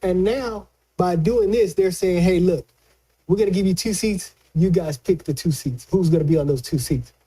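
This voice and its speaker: Man, high-pitched voice, soft mellow tone, halting colloquial delivery, political analyst talk. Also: husky, rough recording. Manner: halting, repeats words while explaining, colloquial. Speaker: news analyst. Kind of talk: conversational political commentary.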